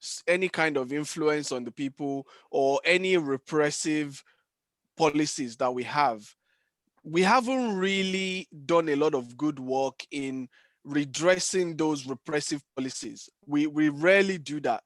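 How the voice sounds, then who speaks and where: A man's voice: fairly high pitch, unhurried, no room sound, studio quality. A man in a conversational political discussion, repeating phrases for emphasis. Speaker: podcast discussion panelist.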